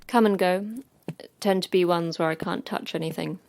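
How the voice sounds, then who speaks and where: A hardened, terse facility manager acted by a woman for a sci-fi horror audio drama. She is curt and blunt, with a lowish voice full of gravel. Faint noise sits behind her. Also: fairly even intonation, moderate pace, lightly acted. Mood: haunted.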